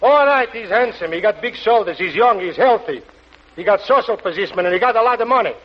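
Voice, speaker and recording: Casting: exasperated, tight-fisted restaurant boss, high-voiced wisecracker, old radio comedy, muffled sound. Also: male voice, lively intonation, brisk, slight background noise. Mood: grumbling, sarcastic. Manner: colloquial ungrammatical wisecracking complaints. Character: grumbling, miserly restaurant owner, wisecracking, exasperated. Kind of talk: 1940s radio comedy acting.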